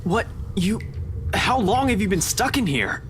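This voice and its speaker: An actor playing a wary, practical police detective in a visual novel, with a hesitant, questioning manner. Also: male voice, high-pitched, sing-song, measured pace, slight background noise. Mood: concerned.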